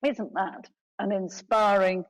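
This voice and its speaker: A woman giving a prepared talk in deliberate, emphatic short phrases. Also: mid-range voice.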